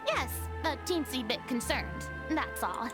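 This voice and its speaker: High-voiced, theatrical young lady. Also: expressive, unhurried. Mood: sheepish. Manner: formal, dramatic wording with hesitations. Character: proud, theatrical young woman, flustered and sheepish. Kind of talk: video game voice acting. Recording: music behind the voice.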